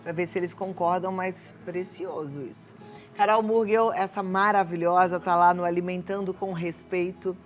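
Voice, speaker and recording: A woman's voice: on the low side, fairly even intonation, moderate pace, faint music behind. A middle-aged woman with a Brazilian accent, hosting a live talk show in an effusive, chatty way. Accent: Brazilian Portuguese, softened after decades in São Paulo. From Rio de Janeiro, Brazil. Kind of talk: casual live hosting, reading viewer questions.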